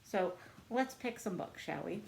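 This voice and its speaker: A woman's voice: medium pitch, lively intonation, measured pace, gravelly, a little mellow, a little hiss or hum. A woman book vlogger chatting casually to camera in a chatty, self-deprecating way. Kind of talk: casual chat to camera answering book tag questions.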